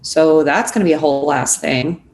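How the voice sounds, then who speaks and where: A woman's voice: low voice, normal speed, darkish tone, lightly acted. A woman playing a blunt, sarcastic, impatient young best friend in a script table read. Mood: wry, exasperated. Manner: sardonic, impatient. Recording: echoey room with faint noise.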